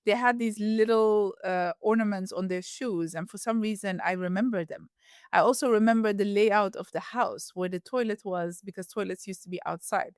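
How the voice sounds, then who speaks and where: A woman's voice: medium pitch, normal speed, a little mellow, clean tone, no room sound, clean studio sound. A woman from Ethiopia who grew up in the Netherlands, speaking as a podcast guest in a reflective, casual way with frequent fillers and restarts. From Ethiopia, raised in the Netherlands. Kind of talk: casual interview conversation.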